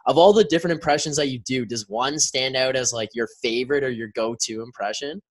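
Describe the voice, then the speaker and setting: A man hosting a casual podcast interview, asking questions in a relaxed way with frequent hesitations. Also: medium pitch, brisk. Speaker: podcast host.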